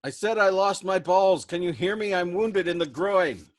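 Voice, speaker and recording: A man reading an audiobook, voicing a desperate wounded airman who pleads and shouts in anguish. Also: high voice, moderate pace, no room sound, clean studio sound, pitched above the performer's own voice, natural voice. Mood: exasperated screaming. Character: wounded airman, desperate, screaming, sobbing and exasperated. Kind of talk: acted audiobook dialogue.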